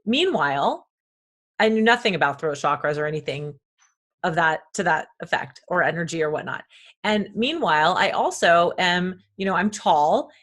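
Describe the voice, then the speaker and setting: A woman guest on a wellness podcast who talks loudly and emphatically, sharing personal stories and affirming the host. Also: fairly low pitch, animated, normal speed. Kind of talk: conversational wellness talk.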